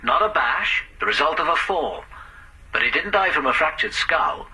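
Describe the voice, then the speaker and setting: An actor playing a brisk, matter-of-fact police sergeant in a radio drama. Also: male voice, on the high side, expressive, sharp tone, breathy edge, full character voice, low-quality recording. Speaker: police detective.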